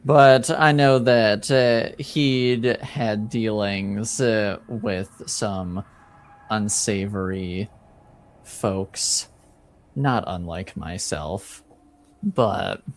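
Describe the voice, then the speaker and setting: A man performs a slippery male informant from a fantasy underworld. He talks slowly and evasively with a dry, smug reserve. His voice is lowish and smooth. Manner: evasive, measured, hesitant.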